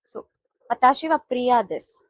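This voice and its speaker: A woman's voice: a little high, no echo, studio quality. A woman from India, teaching a beginner Japanese lesson, repeating each phrase and explaining word by word. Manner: repetitive, explains each word slowly with many "so" restarts.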